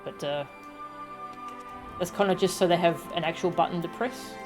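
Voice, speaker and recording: Lower-voiced woman streaming a game over music. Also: moderate pace. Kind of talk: casual live game stream commentary, reading dialogue aloud.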